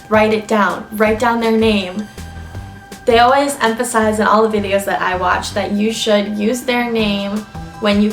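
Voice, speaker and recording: A woman's voice: mid-range voice, gravelly. A young woman chatting informally through advice from her notes, explaining and listing points as a vlogger. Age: young adult. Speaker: vlogger giving interview tips. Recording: echoey room with background music.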